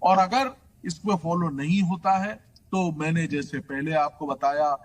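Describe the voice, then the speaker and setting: Man, very high pitch, emphatic, point-by-point legal explanation, English terms mixed in. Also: a little slow. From Delhi, India. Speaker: legal expert guest. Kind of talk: explanatory legal commentary in an interview.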